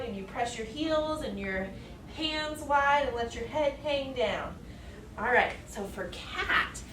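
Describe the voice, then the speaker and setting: A woman's voice: high voice, background noise. A woman teacher guiding young children through yoga poses step by step, with encouraging, simple singsong talk. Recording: echoey room, distant mic, music behind.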